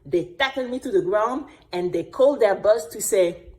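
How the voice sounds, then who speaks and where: A woman playing an earnest, resilient young singing contestant, narrating her own story in an expressive, deliberate, dramatic way. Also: medium pitch, natural voice. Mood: earnest, confiding. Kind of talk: scripted dramatic first-person storytelling.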